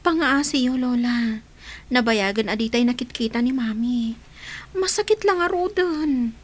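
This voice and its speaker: A woman's voice: a little high, measured pace. A woman playing an affectionate, eager granddaughter in an Ilocano radio drama, with an Ilocano accent. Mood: caring, coaxing.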